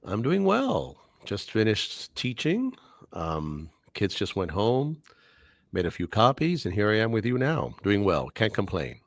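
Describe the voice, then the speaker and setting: A man's voice: fairly low pitch, huge pitch swings, measured pace, dry recording, studio quality. A middle-aged man, a native Spanish speaker and English teacher, speaking articulately with careful diction in a casual podcast interview.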